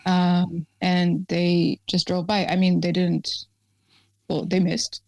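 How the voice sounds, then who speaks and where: A woman from Ethiopia, guest on an interview, speaking casually with many pauses, ums and restarts. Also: lowish voice, completely flat delivery, vocal fry, a little mellow, no echo. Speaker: activist guest.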